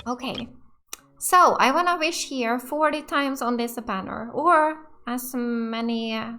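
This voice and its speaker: High-voiced woman, bright, excitable gamer. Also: a little slow, slight background noise. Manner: excitable, repeats short exclamations. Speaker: gaming let's play host. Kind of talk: casual live gameplay reaction commentary. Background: game music.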